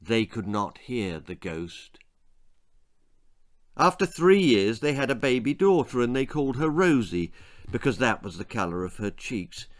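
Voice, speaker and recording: A man reading a children's comic horror story aloud in a theatrical, suspenseful, deliberate storytelling style. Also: medium pitch, very animated. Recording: music behind the voice. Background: music.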